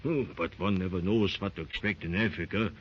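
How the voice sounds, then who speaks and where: Sly greedy hunter for hire, husky low voice, lots of uh and hmm, old radio sound. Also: male voice, brisk. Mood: scheming. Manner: sly, probing, drawling with many fillers. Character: greedy rough male hired hunter, mercenary. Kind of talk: 1930s radio drama acting. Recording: muffled 1930s radio recording.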